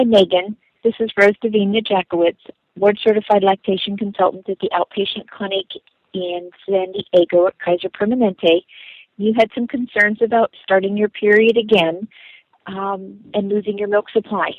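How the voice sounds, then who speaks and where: Calm woman advising over lo-fi audio. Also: medium pitch, no echo. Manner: calm, advising, frequent ums and uhs. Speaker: lactation consultant answering a listener. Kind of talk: recorded message reply.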